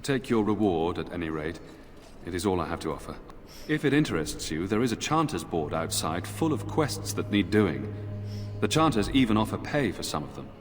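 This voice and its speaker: A weary, earnest knight commander from a fantasy game. His voice is low and formal, echoing in a big reverberant space with music clearly behind it. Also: big pitch swings, normal speed. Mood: somber, earnest. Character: dutiful, weary male knight commander of templars. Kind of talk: fantasy video game acting. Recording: game dialogue in long reverb under music.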